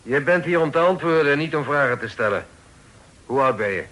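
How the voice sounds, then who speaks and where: Forceful, commanding pirate chief. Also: male voice, a little high, lively intonation, fairly quick. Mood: stern, questioning. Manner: curt, authoritative, formal. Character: commanding, self-assured pirate chief, a father. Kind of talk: old radio play acting.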